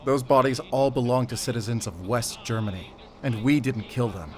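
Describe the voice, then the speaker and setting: A man's voice: mid-range voice, normal speed, lightly acted. A man playing a determined, defiant investigator on the run in a cinematic audio drama, speaking with intensity. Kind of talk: cinematic audio roleplay acting.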